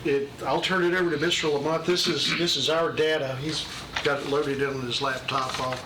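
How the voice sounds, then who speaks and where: A man, a county official speaking plainly and a little haltingly at a committee meeting. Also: on the high side. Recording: roomy hall, distant mic, steady noise.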